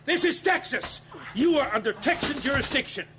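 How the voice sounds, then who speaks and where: An indignant, very high-pitched official. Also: male voice, expressive, normal speed, background noise. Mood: outraged, insistent. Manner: emphatic, protesting, formal. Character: indignant, officious male chief medical examiner. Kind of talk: audio drama acting.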